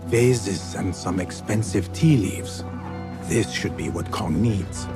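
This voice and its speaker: Young male assassin, deep register, measured, earnest, determined, game cutscene, faint music behind. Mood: musing, focused. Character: young male street thief turned assassin, earnest and driven. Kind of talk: video game cutscene acting.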